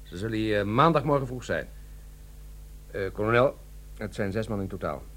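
A man's voice: on the low side, lively intonation, moderate pace, a bit bright. A male actor in a Dutch radio play, playing a sly, mock-polite military police sergeant investigating theft. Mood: mock-polite, officious.

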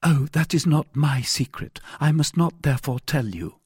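Man voicing an anxious young woman, pitched high. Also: animated, normal speed, darkish tone, slightly rough, dry recording, clean studio sound. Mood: earnest. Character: affrighted, anxious pretty young married woman, earnest and pleading. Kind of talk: audiobook character voice.

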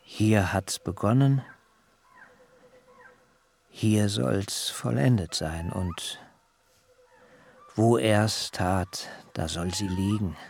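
A bereaved patriarch in a radio play, speaking extremely slowly in a gravelly, creaking voice. His delivery is solemn and halting, heavy with grief, with faint noise behind it. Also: on the low side, a little mellow, exaggerated voice. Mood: grieving, solemn. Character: grieving patriarch husband burying his wife. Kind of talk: literary radio drama.

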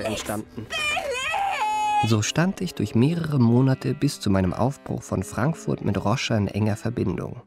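Suave, self-satisfied young confidence trickster. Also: male voice, medium pitch, gravelly. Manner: ornate, self-satisfied, mannered formal diction. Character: elegant young male confidence trickster recounting his life. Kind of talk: literary first-person radio play narration. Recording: music behind the voice.

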